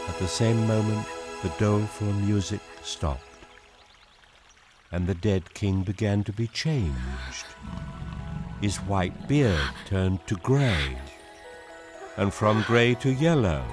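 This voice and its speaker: An actor playing a grave, wondering storybook narrator, telling a radio drama in a measured, expressive way. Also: male voice, fairly low pitch.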